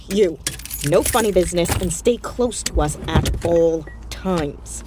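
Amid clear vehicle noise, a low-voiced threatening female captor in an audio drama. She gives slow, deliberate orders, stern and terse. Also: expressive. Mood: menacing.

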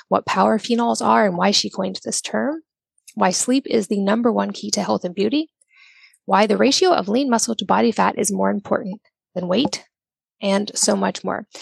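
A woman's voice: medium pitch, creaky, dry recording. A woman hosting a health podcast, introducing and interviewing her guest with upbeat enthusiasm. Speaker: podcast host.

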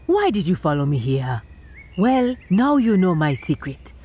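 A woman's voice: on the low side, big pitch swings, measured pace, dark tone, husky, full character voice. A woman voicing a sensible, cautious dog in a children's folktale, speaking in an earnest, admonishing way. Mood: hushed warning. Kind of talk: animated children's storytelling.

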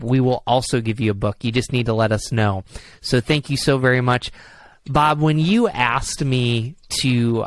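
A man's voice: mid-range voice, a bit bright, dry recording. A man with an Upstate New York accent hosting a podcast interview, rambling a little with fillers. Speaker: podcast host.

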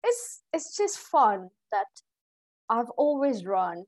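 A woman from Kenya being interviewed, answering in a friendly, halting way and giggling often. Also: a little high, big pitch swings, no echo, clean studio sound. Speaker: podcast guest. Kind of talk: casual interview chat.

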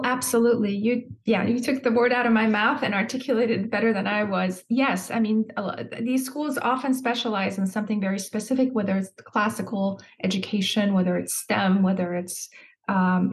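A woman's voice: mid-range voice, steady tone, normal speed. A middle-aged woman from Iran, an education nonprofit leader, answering interview questions earnestly and articulately, with fillers.

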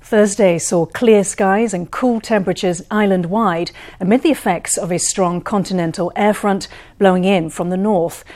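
A woman reading the news as anchor in a measured, formal delivery. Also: mid-range voice, steady tone, darkish tone.

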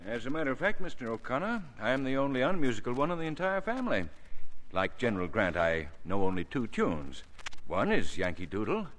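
Radio play, 1950s: genial older male academic, courtly dry humor, very animated intonation, echoing broadcast sound. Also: medium pitch. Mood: amused. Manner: courteous, dry, unhurried wit. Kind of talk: 1950s radio drama acting.

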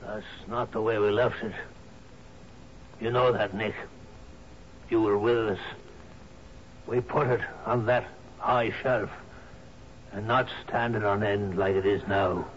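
A man's voice: fairly low pitch, steady tone, takes it slowly, pauses often. An actor playing a distraught, insistent bereaved father in a 1970s radio drama, speaking in short emphatic phrases. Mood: grim, accusing. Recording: muffled, noisy 1970s radio broadcast.

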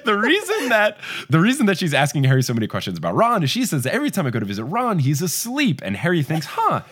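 A man's voice: on the high side, huge pitch swings, a bit bright, slightly rough. A young man hosting a podcast, chatting casually as he recaps a book chapter and laughing often. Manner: laughs often, does quick voices when quoting. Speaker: podcast host.

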